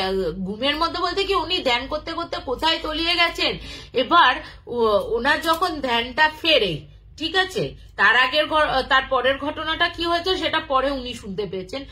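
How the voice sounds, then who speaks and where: A woman with a high, bright, forceful voice tells a story off the cuff. Her delivery is informal and conversational, halting as she pieces the tale together like someone recounting it to a listener. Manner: halting and conversational, acts out quoted dialogue. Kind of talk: informal, unscripted spoken storytelling.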